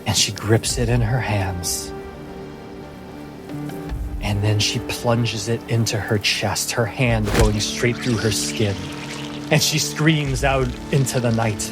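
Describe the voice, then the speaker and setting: Deep-ish guy game mastering, super slow dramatic storytelling, music loud behind him. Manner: slow, deliberate, pausing phrasing. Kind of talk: dramatic tabletop fantasy narration.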